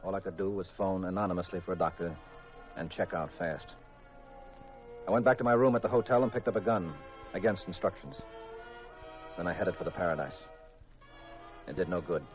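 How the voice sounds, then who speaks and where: Seeking a man to play a hard-boiled gumshoe: very deep, deadpan, vintage muffled radio sound with music. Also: a little fast, long pauses, natural voice. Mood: tense, matter-of-fact. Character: hard-boiled male private detective, cool, wry, narrating his case. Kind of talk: 1940s-50s radio mystery acting, first-person narration.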